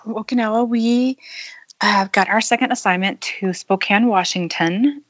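A woman who grew up in Thailand, telling her life story in an unhurried, thoughtful interview, with small laughs. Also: medium pitch, dry recording, muffled recording. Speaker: podcast guest. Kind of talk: conversational interview.

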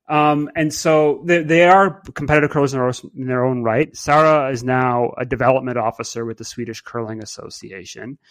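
A man hosting a podcast, talking thoughtfully in a casual way with many fillers and restarts. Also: medium pitch. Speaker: podcast host. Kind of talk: casual conversational podcast talk.

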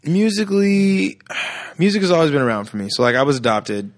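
A man in his thirties, a musician guest on a podcast, telling stories in a casual, animated way and acting out the voices of people he quotes. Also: a little high, fairly quick, no echo, clean studio sound. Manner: animated storyteller who acts out quoted voices. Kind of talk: casual chat.

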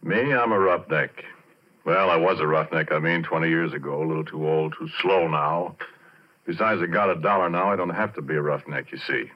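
An actor playing a retired, middle-aged oil-field roughneck, telling his story in colloquial, conversational 1940s radio drama style. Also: deep voice, brisk. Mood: folksy, chatty. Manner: colloquial, conversational, addressing the listener directly. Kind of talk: 1940s radio drama first-person narration. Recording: muffled vintage 1940s radio recording.

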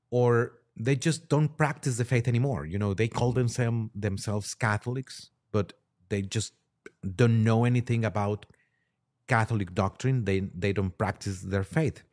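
A man speaking as a priest and podcast guest, giving religious commentary in a halting, conversational way with repeated words. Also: lowish voice, a little mellow.

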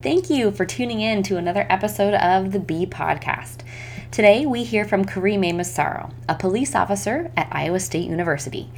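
A woman's voice: medium pitch, fairly quick, gravelly, a little hiss or hum. A woman hosting a podcast, reading a scripted intro and then chatting casually with her guest. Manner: warm upbeat interviewer, lively rises. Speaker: podcast host.

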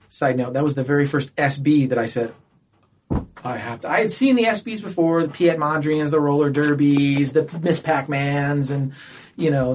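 A man with a slightly high voice chats casually as a podcast guest. His delivery is conversational and unscripted. There is a little background noise on the recording.

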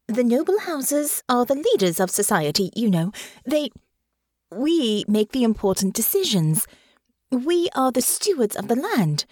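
An eager young noblewoman, as a woman puts her on, pitched up and lively, tripping over her own words when pressed. Her earnestness runs through every line. The sound is close and dry. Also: no room sound. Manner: eager, halting, stumbling restarts.

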